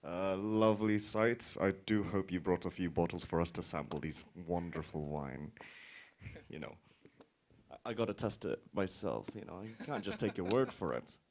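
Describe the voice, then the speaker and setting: A man's voice: low voice, moderate pace. A man moderating a live stream, thanking speakers and introducing the next one in a jovial, chatty way. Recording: faint background noise.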